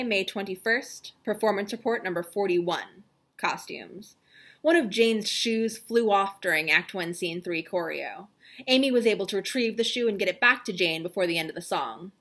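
An ominous, solemn storyteller acted by a young woman delivers a mock-dramatic reading. The delivery is deliberate and grave, as if telling a suspense tale in an online video. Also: medium pitch, a bit bright.

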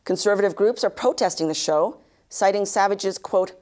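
A woman in her thirties anchoring a news bulletin, reading a script with measured, even delivery in a studio. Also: medium pitch. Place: studio news set, indoors.

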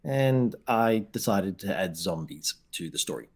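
A man's voice: deep voice, lively intonation, measured pace. Laughing Australian man on a video call. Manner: self-deprecating, laughs often, repeats words when starting. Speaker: guest author. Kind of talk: casual video-call interview. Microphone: headset on video call.

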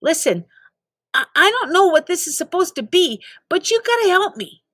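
Storybook schoolboy, played by a woman: frantic, high, swooping, indignant. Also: very animated, normal speed, fairly bright tone, no room sound, studio quality, exaggerated voice. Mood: anxious pleading. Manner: shrieking, pleading, protesting. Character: panicked, exasperated sixth-grade boy thrust into a video game.

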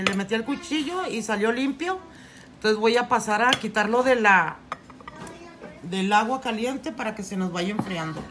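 A middle-aged woman explaining a recipe step by step in her home kitchen, unhurried and plain, with frequent restarts. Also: on the high side, a bit bright, slight background noise. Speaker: home cooking channel host. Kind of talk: casual cooking tutorial.

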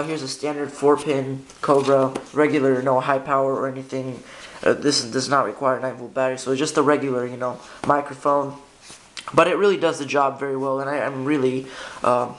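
A man's voice: mid-range voice, fairly bright tone. A man giving a casual, unscripted gear walkthrough in a hesitant, informal way, often correcting himself, recorded on a handheld camera indoors. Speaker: hobbyist demonstrating a radio. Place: indoor room by a window. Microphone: handheld camera's built-in microphone. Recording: noisy amateur camera audio with clicks.

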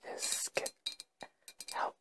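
A woman's voice: very slow, very noisy. A middle-aged woman whispering breathily in a soothing, coaxing ASMR style, recorded indoors on a lapel mic. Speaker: ASMR host. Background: glass tapping and clinking.

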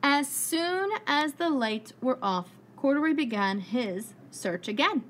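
A woman preschool teacher reading a picture book aloud to young children with expressive storytelling. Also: high-pitched. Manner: expressive storytelling, pausing to ask the children questions. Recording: clearly noisy room recording.

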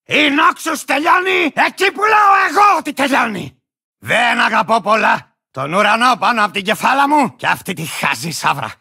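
Crazy little war creature for a game, super high screechy yelling, pompous n ranting. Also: male voice, animated, exaggerated voice. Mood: boastful, musing. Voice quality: gravelly, shrill, cracked. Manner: ranting, pompous, barking, bursting into cackles. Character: manic, war-crazed little warrior creature, boastful, hot-tempered lizard rider. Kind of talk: cartoonish video game character acting.

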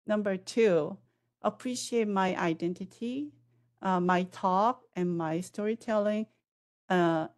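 A woman in her forties with a non-native English accent, speaking on a video-call panel through a headset. She answers in a measured, reflective way with pauses and fillers. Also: mid-range voice, a little mellow.

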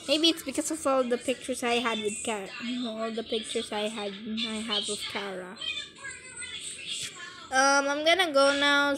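A woman's voice: a little high, background noise. A teenage girl chatting casually over a screen recording of her phone, giggly and easily distracted. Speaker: vlogger. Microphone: phone mic.

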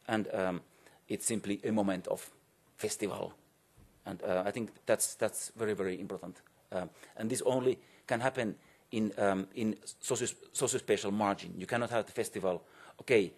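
Male academic keynote speaker, middle-aged. Lowish, rough-edged voice, hesitant delivery with repeated words. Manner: halting and hesitant, frequent repetitions and pauses. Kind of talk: academic conference lecture. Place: indoor conference auditorium, on stage.

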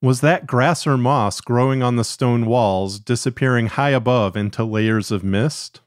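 A man narrates scripted fiction for a podcast. His pitch is average for a man and the recording is dry, with no room sound. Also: unhurried, no echo.

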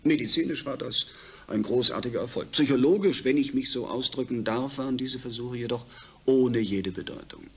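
An actor playing a suave, self-assured space project leader in a German radio drama, formal and measured with emphatic pauses. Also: male voice, medium pitch, husky.

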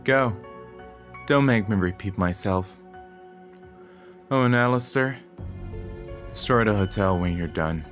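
A man's voice: lowish voice, takes it slowly, long pauses, fairly bright tone, faint music behind. A man voicing a cold, commanding female demon queen in a fan comic dub.

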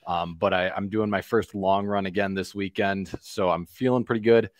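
A man talks over a video call through a headset, easygoing and a bit halting as he catches up. His voice sits low and sounds dry and close. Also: dry recording. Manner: relaxed, slightly hesitant. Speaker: guest panelist. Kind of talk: casual chat.

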